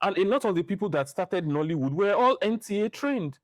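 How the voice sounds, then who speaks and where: A man's voice: high voice, expressive, no echo. Emphatic middle-aged Nigerian man, close dry sound. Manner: emphatic, deliberate, repeats phrases for stress. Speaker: interview guest, film director and writer. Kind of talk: opinionated podcast interview talk.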